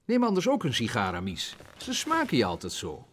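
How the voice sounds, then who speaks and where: Formal gentleman with sweeping intonation. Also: mid-range voice, wildly swooping pitch, fairly quick, over loud music. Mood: polite, encouraging. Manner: formal, polite. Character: courteous, attentive gentleman urging a guest on. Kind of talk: Dutch radio play acting.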